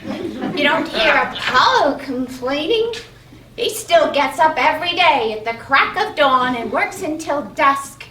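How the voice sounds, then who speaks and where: An actress playing a chatty, fussy, doting aunt goddess in a live stage comedy. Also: female voice, high-pitched, expressive, unhurried, bright, forceful tone, exaggerated voice. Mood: brisk, nagging. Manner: fussy, chirpy, matter-of-fact. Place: live in a playhouse, far from the mic. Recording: distant, echoey stage with clear noise.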